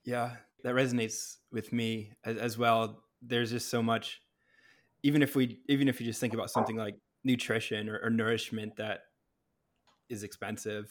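Flat-toned man host, halting speech. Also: mid-range voice. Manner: soft-spoken, halting with repeated words. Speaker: podcast host. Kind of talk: conversational interview.

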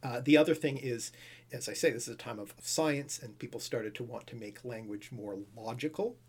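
A man with a husky, slightly breathy voice talks through ideas about language at an easy, conversational pitch. He explains patiently and thinks aloud, with frequent "you know" and small restarts. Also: moderate pace, a little mellow. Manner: thoughtful, explaining with fillers and restarts. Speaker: language expert guest.

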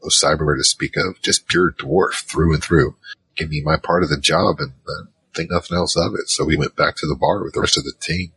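A man's voice: extremely low pitch, fairly even intonation, a little fast, fairly bright tone, rough recording. A man playing an easygoing, nerdy troll mage who narrates his own story in a casual, rambling, slangy way for an audio drama. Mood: wry reminiscing. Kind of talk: conversational first-person drama narration.

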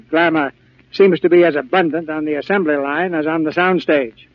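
A man's voice: fairly high pitch, steady tone, normal speed. A man hosting and narrating a 1940s radio theater show in a polished, formal manner. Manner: polished, formal presenter delivery. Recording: dull old radio recording with music.

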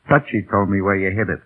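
An actor playing a loyal, excitable veteran pilot in 1940s radio drama, earnest and slangy with a husky voice. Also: male voice, on the low side. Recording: tinny, band-limited vintage radio broadcast.

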